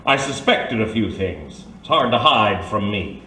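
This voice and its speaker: Actor as a suave, pompous boss in echoey room. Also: male voice, on the low side, very animated, pushed and bright, heavily acted. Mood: condescending. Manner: pompous, genteel, measured formal phrasing. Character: suave, condescending male crime boss, coolly controlling. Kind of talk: old-time radio drama acting. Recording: roomy, slightly distant, faint background noise.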